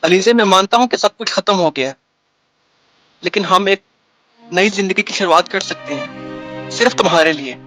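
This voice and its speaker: A man's voice: high-pitched, normal speed, pauses often, bright, forceful tone, soft background music. A man acting an earnest young man in love in a romantic drama, pleading and declaiming his devotion.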